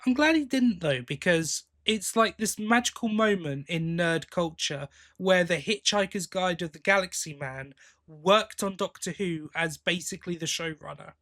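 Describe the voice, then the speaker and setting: Higher-voiced man hosting a casual podcast. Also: moderate pace, a bit bright. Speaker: podcast host. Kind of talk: casual discussion.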